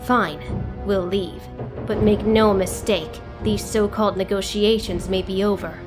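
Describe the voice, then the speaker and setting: A woman acts out a ruthless female warlord of a ninja clan. She speaks proudly and formally in a mid-pitched voice, with menace. Loud music runs beneath this motion comic drama. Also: steady tone, measured pace, lightly acted. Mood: menacing. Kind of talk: motion comic fan dub acting.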